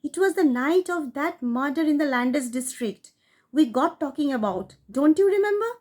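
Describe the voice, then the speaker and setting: A woman's voice: high voice, expressive, normal speed, dark tone, voice pushed up. A woman reading a story, voicing a scheming man who urges his brother toward a murder in an eager, insistent way. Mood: urgent. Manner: eager, urgent, insistent whispering.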